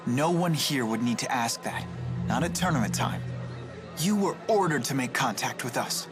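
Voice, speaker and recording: A male actor playing an earnest teenage student in a video game, measured, gentle but firm. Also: medium pitch, lively intonation, music in the background, natural voice. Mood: firm, accusing.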